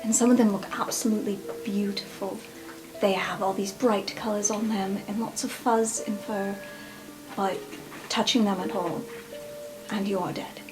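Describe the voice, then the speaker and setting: A gentle fantasy adventurer speaks earnestly and patiently, as though consoling a child, played by a woman at a game table. The pitch is middling and music plays clearly underneath. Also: fairly even intonation, normal speed, lightly acted, rough recording. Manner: soft, patient, explanatory.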